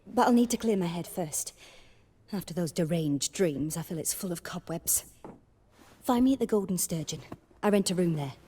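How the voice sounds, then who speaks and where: Very husky, weary seer. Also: female voice, lowish voice, lively intonation, warm tone. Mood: grateful, weary. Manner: formal, measured. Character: weary, shaken, courteous female dream seer. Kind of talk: fantasy video game acting.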